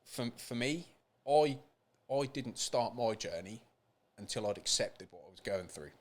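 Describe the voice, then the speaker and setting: Lowish-voiced British man, speaking candidly. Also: animated, unhurried. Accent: British English. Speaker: podcast guest, support group founder. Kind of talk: candid personal interview.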